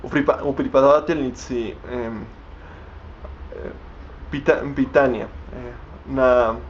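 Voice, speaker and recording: A young man speaks haltingly, breaking off words and starting them over as he searches for them. His voice sits at an ordinary middle pitch. The recording is a little dull and lo-fi, with a faint hiss underneath. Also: fairly even intonation. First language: Spanish. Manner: halting, many restarts and fillers while searching for words. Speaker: language learner vlogging. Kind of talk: unscripted vlog in a learned language.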